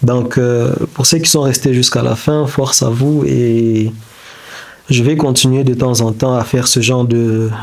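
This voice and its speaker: A man whose first language is not French gives a reflective motivational talk, halting and searching for words with many restarts. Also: medium pitch, level tone, vocal fry. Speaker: self-development video creator. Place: indoors beside a whiteboard diagram.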